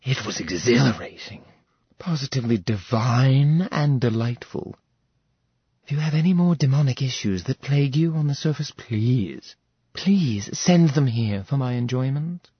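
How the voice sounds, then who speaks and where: A regal, menacing goddess, as a male narrator puts her on, taunting her visitors with theatrical relish and dropping to a hushed, threatening whisper. The voice is somewhat husky and dry, with a slightly dull, muffled sound. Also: medium pitch, animated, a little slow, no room sound. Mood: gloating. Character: regal menacing goddess woman, bloodthirsty and mocking.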